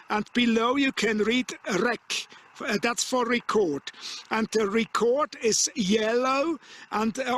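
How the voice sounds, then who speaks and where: A middle-aged man with a Swiss German accent giving an informal outdoor demonstration as a radio operator, in halting, repetitive English. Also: very high-pitched, fairly bright tone. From Switzerland. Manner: halting, repetitive non-native English phrasing. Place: outdoors on an open rocky mountain summit.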